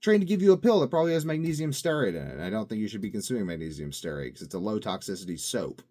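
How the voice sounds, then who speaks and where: A man's voice: lowish voice, sing-song, very fast, warm tone. A young man in a casual daily video diary, rambling and upbeat with lots of fillers and restarts. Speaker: vlogger.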